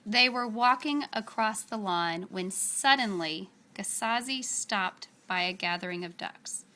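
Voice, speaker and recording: A woman reading a children's story aloud with expressive, deliberate, dramatic phrasing. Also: mid-range voice.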